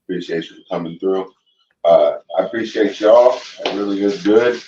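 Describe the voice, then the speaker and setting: A man from Cleveland, a guest cook and wrestler, talking casually and giving shout-outs. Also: deep voice, normal speed, slight background noise. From Cleveland, Ohio. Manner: laid-back, gives shout-outs. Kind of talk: casual podcast chat. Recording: dull, roomy, distant mic.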